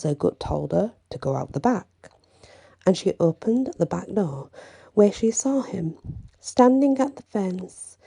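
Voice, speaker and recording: Woman, ASMR storyteller, very soft dark tone, breathy, low-key, bedtime fantasy narration. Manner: hushed, breathy delivery, often slipping into whisper. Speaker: storyteller reading own fantasy fiction.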